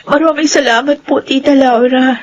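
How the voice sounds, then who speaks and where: An actress playing a polite, soft-spoken young woman in a radio drama. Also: sits high, steady tone, normal speed, close to an everyday voice. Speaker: narrator and main character. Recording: dull lo-fi radio sound.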